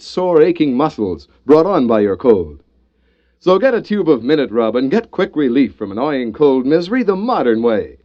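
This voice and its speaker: A man's voice: a little high, warm tone. A man announcing in 1940s radio style with a polished, emphatic salesman delivery. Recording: dull lo-fi old radio broadcast. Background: music.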